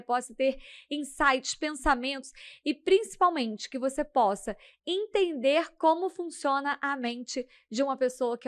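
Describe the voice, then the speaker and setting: A high-voiced woman hosts an interview podcast. Her delivery is upbeat and encouraging, with quick affirmations, and the sound is dry and close with no room echo. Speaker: podcast host.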